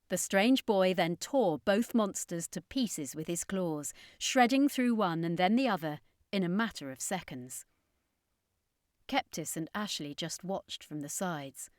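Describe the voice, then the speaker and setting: A woman's voice: lowish voice, no echo, studio quality. A woman reading a scripted adventure story as an audiobook narrator, in a dramatic storytelling style.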